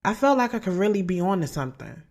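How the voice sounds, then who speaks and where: A woman's voice: mid-range voice, big pitch swings, fast, darkish tone, dry recording, studio quality. A woman playing a hopeful, candid author of about thirty in an audio drama, speaking in a casual, earnest way. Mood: hopeful, reflective. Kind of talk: first-person audio drama narration and radio interview dialogue.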